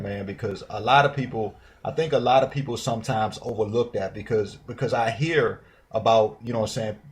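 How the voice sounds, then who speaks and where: A man's voice: mid-range voice, husky. A man hosting a casual interview, speaking informally with slang and frequent encouraging affirmations. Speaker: interview show host.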